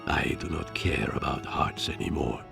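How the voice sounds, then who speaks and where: A man voicing a stubborn, self-pitying fluffy engineer creature in a game, narrating in a theatrical, brooding, gravelly manner. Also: rumbling low, moderate pace, over music, heavily acted. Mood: wounded, stubborn. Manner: theatrical, brooding, pompous delivery. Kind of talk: dramatic first-person game narration.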